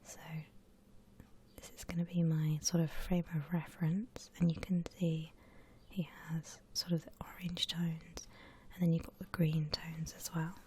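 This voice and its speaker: A woman whispering breathily and gently as she hosts an unhurried ASMR art tutorial. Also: low-pitched, flat delivery. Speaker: host of an ASMR drawing channel. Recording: faint background noise.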